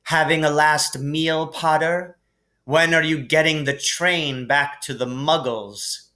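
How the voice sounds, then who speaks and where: A man's voice: on the high side, fairly even intonation, takes it slowly, sharp tone, pitched above the performer's own voice. A man voicing an arrogant schoolboy bully with a taunting drawl in a storybook reading.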